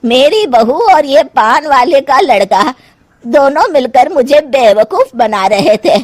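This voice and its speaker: An exasperated older mother-in-law from a cartoon moral tale, acted by a woman. Her voice is high and swings widely as she scolds and complains theatrically. The sound is close and dry. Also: expressive, slow pace, dry recording. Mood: sly menace. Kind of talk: Hindi cartoon moral story acting.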